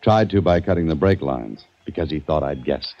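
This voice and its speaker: An actor playing a calm, self-assured private detective in a 1940s radio drama, with a dry manner. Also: male voice, rumbling low, moderate pace, no echo. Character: cool private detective Dan Holliday. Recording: dull lo-fi 1940s radio recording.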